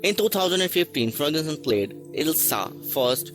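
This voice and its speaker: A man narrating a list video from a script, reading haltingly with stray articles and restarts. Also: a little high, big pitch swings, a bit bright, faint music behind. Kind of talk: read-aloud list narration.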